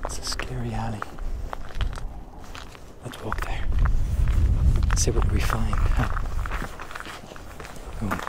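A young man speaking softly and gently in an unhurried, musing ASMR roleplay, with pauses, while walking outdoors. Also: lowish voice, steady tone. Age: young adult. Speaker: ASMR creator. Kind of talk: soft-spoken ASMR roleplay, casual monologue. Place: outdoors on night streets, walking. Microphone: handheld camera at arm's length, wind-buffeted. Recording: loud wind and outdoor noise.

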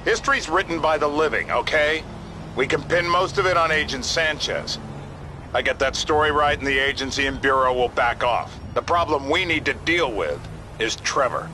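An actor playing a scheming, exasperated federal agent in a video game, measured and placating as he reasons. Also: a little high, lively intonation, background noise, close to an everyday voice. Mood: persuasive.

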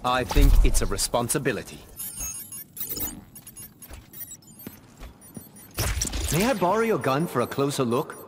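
A man's voice: medium pitch, big pitch swings, fast, lightly acted. A man playing an earnest, modest young scientist superhero in a video game, polite and precise. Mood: earnest, confident. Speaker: voice actor. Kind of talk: video game pre-fight dialogue. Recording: very noisy game audio with effects.

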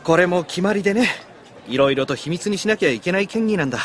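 A man's voice: high voice, animated, fast talker, a little hiss or hum. An actor playing a friendly, considerate young swordsman with a casual, apologetic manner in an anime audio drama. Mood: apologetic, explaining.

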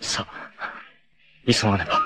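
An actor playing a proud, passionate, fiercely protective martial-arts warrior in an anime, with a dramatic, terse, masculine delivery. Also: male voice, moderate pace, over music, close to an everyday voice. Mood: brooding, solemn. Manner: dramatic, terse, masculine, swinging from hushed to shouting.

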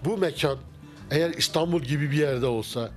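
A middle-aged man, a restaurant owner, answering interview questions in a relaxed, halting way with many fillers and restarts, inside a wooden-walled restaurant. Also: fairly high pitch, faint music behind. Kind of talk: casual interview. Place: wood-paneled restaurant interior. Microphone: handheld mic held by interviewer.

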